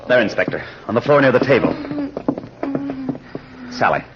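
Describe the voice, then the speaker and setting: An actor playing a brisk, inquisitive male sleuth in an old radio mystery, with an articulate way of speaking. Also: medium pitch, lively intonation, heavy background noise, close to an everyday voice. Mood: urgent. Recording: muffled old radio recording.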